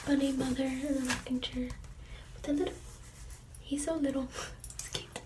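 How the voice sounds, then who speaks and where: A woman reading children's storybooks in a soft, breathy whisper, gently commenting on the pictures between pages. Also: high-pitched, level tone, normal speed, recorded from a distance, noisy background. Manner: gentle, with quiet asides on the pictures. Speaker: storybook reader.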